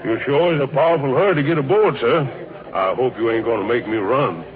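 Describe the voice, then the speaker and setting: An actor playing a deferential, good-natured railroad porter with folksy, halting talk in an old radio drama. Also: male voice, medium pitch, lightly acted. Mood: obliging. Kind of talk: 1940s radio drama acting. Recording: tinny old radio, loud station crowd.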